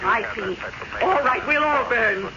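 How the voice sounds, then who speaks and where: A woman's voice: on the high side, a little slow, close to an everyday voice. A woman playing an evil, imperious dead stepmother who has possessed a young woman, scornful and commanding, in a radio mystery drama. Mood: furious, defiant. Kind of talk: 1970s radio mystery acting. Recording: dull old radio recording, crowd noise behind.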